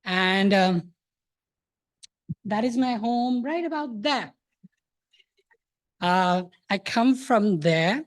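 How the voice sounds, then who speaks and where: A man in his thirties from Nepal, giving a hesitant, soft-spoken talk about his thesis book to an audience. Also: sits high, lively intonation, drawn-out words, pauses often. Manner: soft-spoken, hesitant with many filler sounds. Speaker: thesis presenter.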